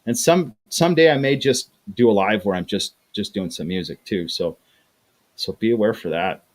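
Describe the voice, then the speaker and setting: A man's voice: fairly low pitch, moderate pace. Chatty man hosting a livestream. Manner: chatty, repeats words, acts out quoted voices. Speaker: livestream host reading chat. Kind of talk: casual livestream chat.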